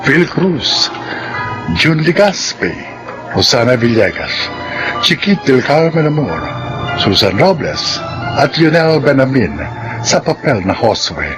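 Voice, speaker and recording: A male radio drama announcer reads in a slow, solemn way. His pitch moves dramatically and formally over a bed of music. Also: very animated, rough recording. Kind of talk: scripted dramatic narration. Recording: radio sound with music behind.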